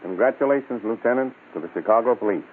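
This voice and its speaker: Formal commissioner host played by a man, medium pitch, scripted delivery on faintly noisy vintage radio. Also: quick pace, natural voice. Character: formal police commissioner hosting crime show. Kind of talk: scripted 1950s radio show presenting. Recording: tinny old radio recording, faint noise.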